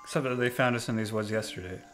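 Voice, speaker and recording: A man's voice: on the low side, fast, fairly bright tone, soft background music, lightly acted. A man playing a timid, bumbling starship science officer in a comic radio play, hesitant and unsure of himself. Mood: worried.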